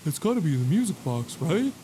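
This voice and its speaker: A man's voice: a little high, big pitch swings, a little fast, warm tone, slight background noise. A man doing casual let's-play commentary as a gaming host, joking and self-deprecating in slangy talk.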